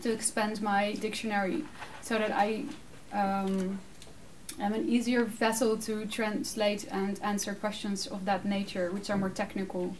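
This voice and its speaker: A Dutch woman in her thirties answering audience questions in a casual, animated way, laughing often; English is her second language. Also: medium pitch, a little slow. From Netherlands. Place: small room with curtained backdrop, seated. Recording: distant mic, faint room noise.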